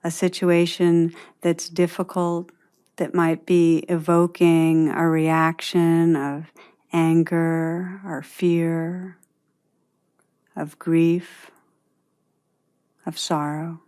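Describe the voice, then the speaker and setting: A woman narrates a guided meditation in a somewhat low voice. She speaks very slowly and deliberately, with a gentle delivery and long, open pauses between her phrases. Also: little pitch movement, smooth.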